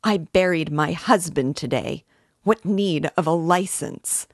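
A woman's voice: low-pitched, fairly even intonation, slow pace, no echo, studio quality. A woman voicing a frantic, ailing widowed mother in an audiobook, crying out and wailing in desperation. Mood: wailing indignation. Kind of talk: dramatic audiobook character reading.

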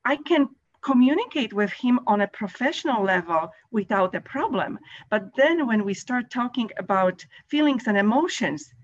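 A woman's voice: a little high, expressive, moderate pace, few pauses. A middle-aged woman from Poland with a Polish accent, a Polish native speaker joining a video call and talking thoughtfully, with some hesitation. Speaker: caller. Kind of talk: casual chat. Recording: dull lo-fi call audio.

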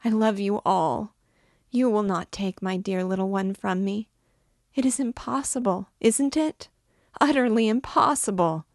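A woman performs a distraught mother from a storybook, begging soldiers to spare her daughter. Her pleading is emphatic and pushed up in pitch, with some gravel in it. The recording is dry and close. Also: unhurried, no room sound, close to an everyday voice. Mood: desperate pleading. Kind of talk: dramatic audiobook character reading.

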